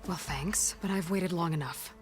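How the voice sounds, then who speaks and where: A woman's voice: on the low side, moderate pace, music in the background, close to an everyday voice. A woman playing a determined, impatient young heroine in a video game, dry and clipped. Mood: impatient, challenging. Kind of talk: naturalistic video game acting.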